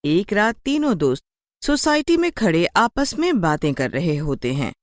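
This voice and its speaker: A woman's voice: lowish voice, sing-song, moderate pace, no room sound, clean studio sound. A woman narrating a scripted horror story as a storyteller.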